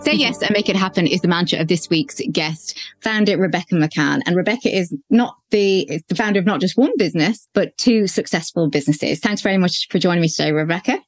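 A woman's voice: fairly low pitch, brisk, few pauses, faint music behind. An Irish woman hosting a business podcast, reading her intro and interviewing a guest. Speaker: podcast host and business coach.

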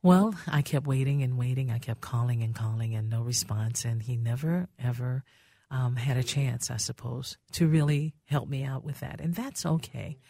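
A woman's voice: a bass voice, moderate pace, gravelly, no echo, clean studio sound. A woman radio talk show host tells a heartfelt story about how she got her start on air, acting out other people's voices in her anecdotes. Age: older. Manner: warm reminiscing, acts out voices in her anecdotes. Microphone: studio microphone.

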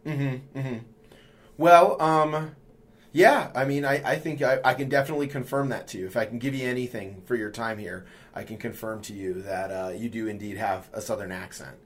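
A man's voice: mid-range voice, fairly even intonation, moderate pace. A man hosting a podcast as the editor, talking casually and hesitantly with many fillers.

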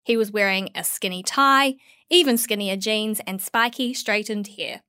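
A woman's voice: medium pitch, normal speed, sharp tone, smooth, no room sound, studio quality. A woman of about thirty reading her own article in a wry, tongue-in-cheek way. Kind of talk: scripted first-person narration.

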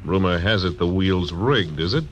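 A man's voice: low-pitched, moderate pace, natural voice. A man playing a laconic frontier marshal. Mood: dry. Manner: dry, clipped, understated. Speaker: narrator. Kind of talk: 1940s radio acting.

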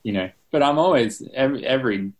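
A man's voice: mid-range voice, animated, fast. A young Australian man, a musician being interviewed, chatting casually and rambling with lots of fillers and restarts. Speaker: musician guest.

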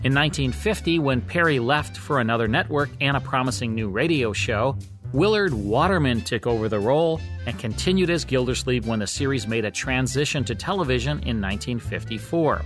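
A man's voice: mid-range voice, lively intonation, brisk, a bit bright. Male radio host narrating over music. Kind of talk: scripted narration.

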